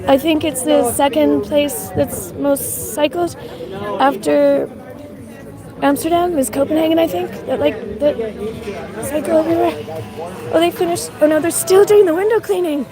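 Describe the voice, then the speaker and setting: A woman's voice: high-pitched, fairly even intonation, normal speed, over music. A woman from Canada with a mixed Canadian and Irish accent, chatting on a live stream while walking outside and shivering from the cold, thanking viewers between rambling remarks with many fillers. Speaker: livestreamer. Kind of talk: casual live stream commentary. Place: outdoors on a city street. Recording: outdoor street recording with crowd.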